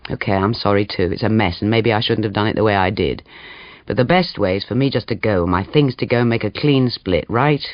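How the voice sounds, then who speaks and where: Man voicing a low, cold, scornful departing lover. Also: a little fast, no echo, lo-fi sound, close to an everyday voice. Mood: weary, dismissive.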